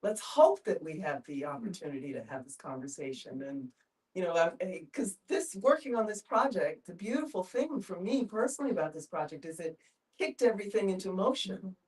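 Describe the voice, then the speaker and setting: A woman's voice: on the low side, expressive, brisk, a little mellow. Earnest woman, recorded from a distance. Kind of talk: reflective group discussion.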